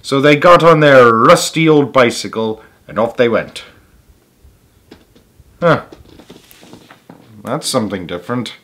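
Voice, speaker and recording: A man's voice: mid-range voice, slow, pauses often, a bit bright. A man reading a children's story aloud as its host, with emphatic delivery and casual asides.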